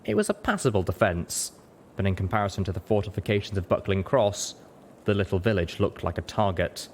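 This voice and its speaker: A man's voice: expressive, a little fast. A man reading a story aloud as a dramatic audiobook narrator. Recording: rumbling background noise.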